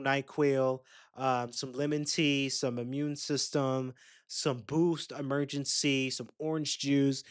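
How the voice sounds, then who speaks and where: A young man, hosting a podcast and telling a rambling, chatty story straight to his listeners. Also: on the high side. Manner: rambling, chatty, addresses listeners directly with asides. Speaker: podcast host. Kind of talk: casual solo storytelling.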